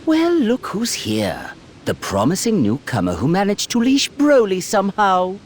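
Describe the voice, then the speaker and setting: A man's voice: sits high, huge pitch swings, measured pace, a little hiss or hum, exaggerated voice. A man playing a haughty, mocking alien tyrant lord with pompous, menacing theatrical delivery in a video game. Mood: cold suspicion. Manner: pompous, sardonic, elaborate phrasing. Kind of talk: theatrical villain acting in game cutscenes.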